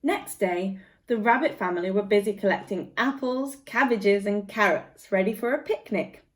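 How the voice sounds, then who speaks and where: A woman reading a children's story aloud in an expressive, measured way for young listeners. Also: mid-range voice.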